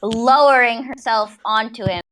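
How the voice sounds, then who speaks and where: A woman's voice: fairly high pitch, measured pace, fairly bright tone, low-quality recording. A woman giving a comic dramatic reading of fan fiction, theatrical and jokey, often breaking off to comment.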